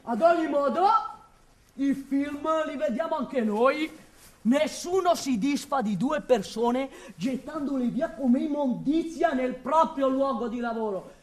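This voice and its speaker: A very high-voiced, blustering building-site foreman played by a woman in a radio play. He rambles defensively, cutting himself off, agitated and coarse. The voice sits back from the mic in an echoey space. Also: animated, slow pace, darkish tone. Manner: agitated, rambling, self-interrupting. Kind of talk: theatrical radio drama monologue. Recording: echoey room, distant mic.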